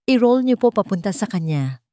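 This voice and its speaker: A woman's voice: mid-range voice, sing-song, fairly quick, no room sound, studio quality, natural voice. Woman acting a tender, encouraging young housemaid. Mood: gentle urging. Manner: tender, encouraging, soft-spoken. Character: gentle, loving young housemaid coaxing a small child.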